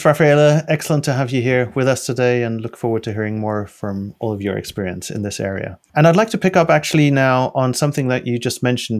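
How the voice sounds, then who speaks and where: A man hosting a panel talk, with a voice pitched in the middle for a man. He speaks politely and formally, in measured phrasing, as he introduces guests and poses questions. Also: a little mellow. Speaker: host.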